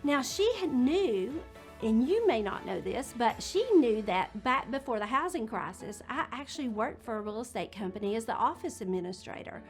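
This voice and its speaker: A woman's voice: on the high side, animated, moderate pace, soft background music. A woman in her late forties, a real estate agent, telling her story in a chatty, candid way. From Georgia, USA. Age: 40s. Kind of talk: casual talk to camera.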